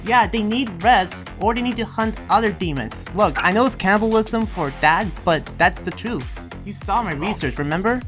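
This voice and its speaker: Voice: mid-range voice, animated, moderate pace, hardly any pauses, fairly bright tone, faint music behind, close to an everyday voice. A performer playing a defensive, insistent town protector who argues for trusting demons in an improvised anime roleplay.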